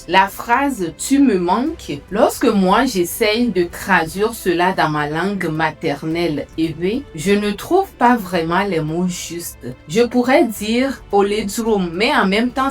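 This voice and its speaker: A woman hosting her own channel, talking directly to the viewer in a conversational way and often asking them questions. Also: medium pitch. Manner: conversational, asks the listener questions. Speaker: host. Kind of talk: casual direct-to-camera talk.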